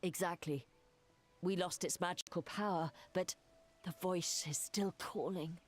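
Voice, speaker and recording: A woman's voice: on the low side, fairly even intonation, measured pace, slight background noise, natural voice. A woman playing a wry, sceptical adventurer in a fantasy video game. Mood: reflective. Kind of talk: dramatic video game dialogue.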